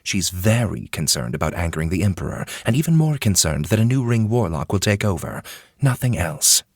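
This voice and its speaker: A man voicing a composed male courtier who talks in a calm, reassuring, glib way. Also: low-pitched, brisk, vocal fry, no room sound, clean studio sound, full character voice. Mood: matter-of-fact.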